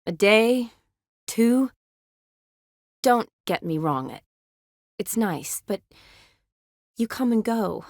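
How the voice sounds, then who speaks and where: An actress playing a young woman army medic in a video game, caring, playful and briskly practical, with a natural, teasing delivery. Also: a little high, expressive, a little mellow, no room sound, studio quality. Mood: wistful, tender. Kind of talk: video game character dialogue.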